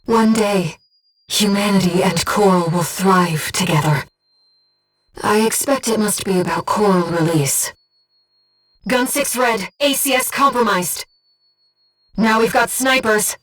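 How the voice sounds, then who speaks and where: A woman voicing a gentle, earnest disembodied guide to a mercenary pilot in a video game, with a soft, measured, composed delivery. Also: mid-range voice, recorded from a distance, soft background music, close to an everyday voice, rough recording. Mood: urgent, alert. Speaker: mission support operator. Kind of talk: scripted video game voice acting.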